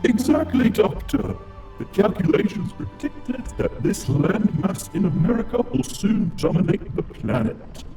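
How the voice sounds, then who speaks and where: A man's voice: high-pitched, sing-song, a little slow, warm tone, roomy sound, distant mic, soft background music, exaggerated voice, poor audio. A man playing a cold, menacing alien robot commander bent on conquest in an audio drama, speaking in formal, grandiose villain style. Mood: smug, calculating.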